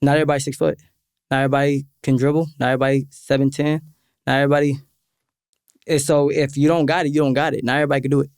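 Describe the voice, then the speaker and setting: A soft-spoken man talking as a musician guest in a laid-back podcast chat, often saying "exactly". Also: mid-range voice, little pitch movement, racing, clear, resonant tone, dry recording, clean studio sound. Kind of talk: casual podcast interview.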